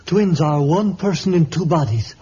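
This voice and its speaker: High-voiced frightened African villager. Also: male voice, expressive, warm tone, slightly rough. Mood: grave explaining. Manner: halting pidgin English with dropped words. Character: frightened African villager, a new father resigned to a curse. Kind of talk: vintage radio adventure serial acting. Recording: dull, lightly noisy old radio recording.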